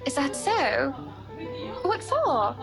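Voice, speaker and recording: A woman voicing a poised upper-class wife in a visual novel, polite and coaxing with a gently teasing firmness. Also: sits high, big pitch swings, extremely slow, creaky, music in the background, full character voice. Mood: gracious. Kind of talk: visual novel character acting.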